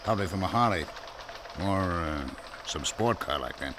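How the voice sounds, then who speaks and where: An actor playing a gruff, world-weary police lieutenant in a radio drama, wry and streetwise. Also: male voice, deep voice, animated, normal speed, noisy background. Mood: wry, brusque.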